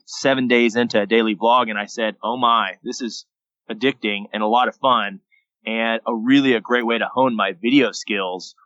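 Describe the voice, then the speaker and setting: A man in his thirties from Texas, a guest telling his story in a relaxed, rambling way on a podcast. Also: medium pitch, no echo. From San Antonio, Texas, USA. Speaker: guest, daily vlogger and entrepreneur. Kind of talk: casual podcast interview. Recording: dull lo-fi dry sound.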